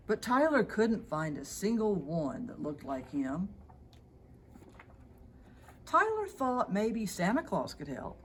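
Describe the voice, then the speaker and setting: Female storybook narrator, slow pace, highly varied intonation, expressive read-aloud delivery. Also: a little hiss or hum. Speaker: storybook reader.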